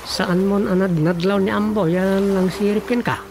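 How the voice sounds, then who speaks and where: A man's voice: high voice, fairly even intonation, normal speed, music in the background. An actor playing a sly, deferential village councilman in a radio comedy drama. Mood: friendly.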